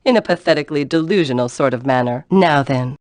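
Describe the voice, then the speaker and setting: A low-pitched, haughty villainess from a video game, acted by a woman. She mocks with theatrical condescension and cruel self-assurance. The sound is dry and close. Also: quick pace, darkish tone, no room sound, natural voice. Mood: mocking, smug.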